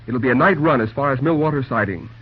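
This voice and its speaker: An actor playing a businesslike railroad official laying out plans, with a quick, businesslike manner, in 1940s radio acting. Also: male voice, mid-range voice, breathy edge, lightly acted. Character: businesslike railroad official planning a mail run. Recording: dull old radio recording.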